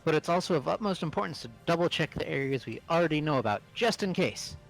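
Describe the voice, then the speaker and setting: Man acting a pompous, quick-talking student. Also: fairly high pitch, lively intonation, creaky, faint music behind, rough recording. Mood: self-satisfied. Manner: pompous, formal. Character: pompous, self-admiring, dutiful young male student. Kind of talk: amateur visual novel voice acting.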